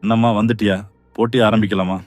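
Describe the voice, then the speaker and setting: A man voicing a deep-voiced greedy cartoon king. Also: little pitch movement, fast talker, a little mellow, no echo, faint music behind, close to an everyday voice. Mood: stern, commanding. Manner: stern, commanding, boastful. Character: greedy scheming adult king, proud. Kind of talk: cartoon voice acting.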